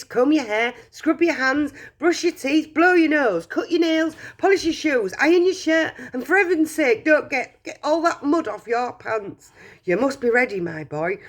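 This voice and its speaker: A woman reading a children's storybook aloud, voicing an excitable elderly grandfather who shouts with joy. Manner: shrill, loud and exclamatory.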